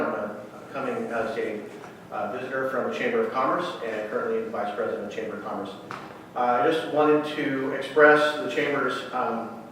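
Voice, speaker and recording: A man giving earnest public comment as a local business owner, halting with frequent ums and uhs. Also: mid-range voice, fairly bright tone, a little hiss or hum. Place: council chamber. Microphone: podium mic heard from a distance. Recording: echoey hall, distant mic.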